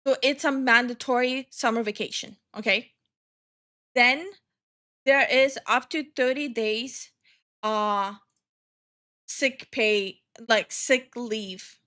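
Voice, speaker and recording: Woman from estonia streaming, slightly high voice, gets heated and swears a lot, ranting to chat. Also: slow, long pauses, bright, forceful tone. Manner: greets chat viewers by name. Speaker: streamer and channel host. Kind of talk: casual live-stream chat.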